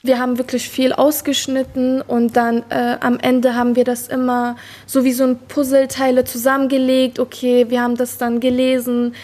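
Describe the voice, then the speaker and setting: A woman's voice: high-pitched, completely flat delivery, normal speed, hardly any pauses, darkish tone. A young woman in her late teens, a school student talking casually or reading a poem.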